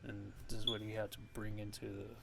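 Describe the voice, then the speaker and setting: A man's voice: lowish voice, monotone, fairly quick, creaky, very noisy. A man giving a casual ASMR-style music production tutorial, thinking aloud in a hesitant, rambling way.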